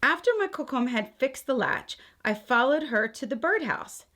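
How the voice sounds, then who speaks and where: A woman with a slightly high-pitched voice hosts a read-aloud for children. She reads in picture-book style and pauses to explain things in simple, careful words meant for young listeners. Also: expressive, pushed and bright.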